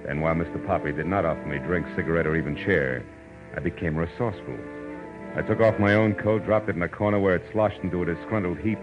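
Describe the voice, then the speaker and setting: An American actor playing a wry, world-weary private detective, deadpan and sardonic, in a 1940s radio drama style. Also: male voice, sits low, quick pace, close to an everyday voice. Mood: wry narrating. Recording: muffled old radio recording, music behind.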